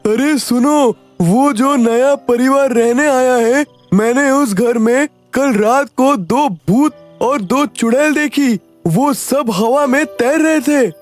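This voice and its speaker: A performer voicing a suspicious neighbour in an animated story. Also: high voice, slow. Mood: alarmed. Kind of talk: animated Hindi story dialogue. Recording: music under the voice.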